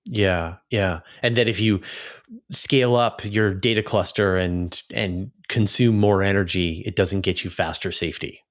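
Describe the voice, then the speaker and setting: A man's voice: deep voice, fairly even intonation, unhurried. A man hosting a casual interview, feeling his way through long, thoughtful questions. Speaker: host, interviewer.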